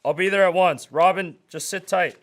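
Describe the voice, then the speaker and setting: Man playing a kindly, higher-voiced newspaper reporter. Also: normal speed, background noise. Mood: concerned. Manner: wholesome, friendly, old-fashioned exclamations. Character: mild-mannered, kindly male newspaper reporter. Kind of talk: 1940s-style radio drama acting.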